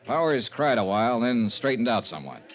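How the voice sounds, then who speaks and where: A man's voice: medium pitch, sing-song, brisk, lightly acted. A man playing a tough, wry insurance investigator in 1950s radio style, clipped, dry and sardonic. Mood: dry, businesslike. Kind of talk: 1950s radio detective acting. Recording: noisy vintage radio recording.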